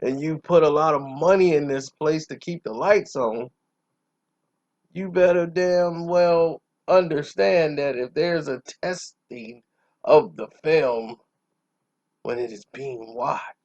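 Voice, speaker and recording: A man delivers a casual film review in a slow, creaky voice. He is emphatic and sure of himself, breaking thoughts into short phrases with dramatic pauses. The audio is a little dull. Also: a little high, darkish tone. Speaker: movie reviewer.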